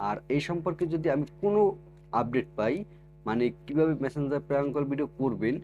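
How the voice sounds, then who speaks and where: A man's voice: mid-range voice, lively intonation, fast, dull audio, music in the background. A man hosting a tech tutorial, addressing viewers in an instructional, scripted way with repeated step-by-step phrasing. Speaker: tech tutorial video host.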